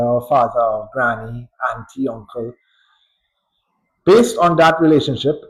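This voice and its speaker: A man with a Trinidadian accent, a psychologist giving a thoughtful, unhurried educational talk with pauses and light chuckles. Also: medium pitch, rough recording. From Trinidad and Tobago. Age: adult.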